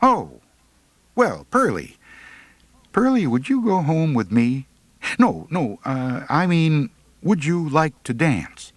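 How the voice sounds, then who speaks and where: A man voicing an awkward, nervous teenage boy who fakes bravado, halting and stammering, in an audiobook. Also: fairly high pitch, big pitch swings, no room sound, pitched above the performer's own voice, heavily acted. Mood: nervous, hopeful.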